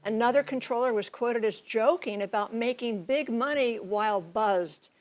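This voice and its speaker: A woman reporting as a TV news correspondent, explaining things in measured, clear phrases. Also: fairly high pitch. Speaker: TV transportation correspondent and pilot.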